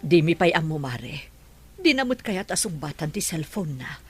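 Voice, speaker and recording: A woman's voice: sits low, sing-song, normal speed, breathy edge, heavily acted. A woman acting a loving, anxious middle-aged mother in an Ilocano radio drama. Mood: worried.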